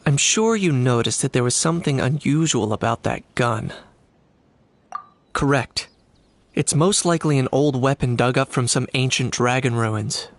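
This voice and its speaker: A man voicing a cool, composed young mercenary in a video game, calm, dry and understated. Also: mid-range voice, normal speed, a little hiss or hum, natural voice. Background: soft game music.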